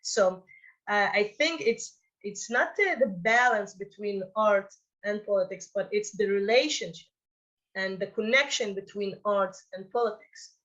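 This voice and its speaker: A woman speaks in a middle-pitched voice, halting and repeating small words. The audio sounds slightly dull and lo-fi. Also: a bit bright. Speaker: director on a festival panel. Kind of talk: Q&A answer.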